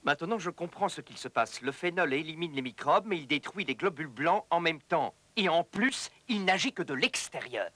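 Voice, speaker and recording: A man voicing an earnest, thoughtful doctor and researcher in an educational cartoon, speaking in a measured, explanatory way. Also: fairly high pitch, expressive, sharp tone, slight background noise. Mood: explaining, thoughtful. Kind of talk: French-dubbed educational cartoon acting. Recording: music under the voice.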